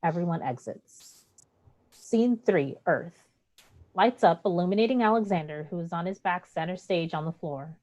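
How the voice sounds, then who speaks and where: A woman with a fairly low voice narrates a play. She reads out stage directions as scripted narration. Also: moderate pace, darkish tone.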